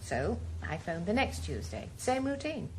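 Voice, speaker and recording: A woman's voice: medium pitch, very animated, a little slow, a little hiss or hum. An actress playing an anxious, hesitant married woman asking a detective for help, in a radio drama. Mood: uneasy. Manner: hesitant, faltering. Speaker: client seeking a private detective. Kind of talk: 1980s radio drama acting.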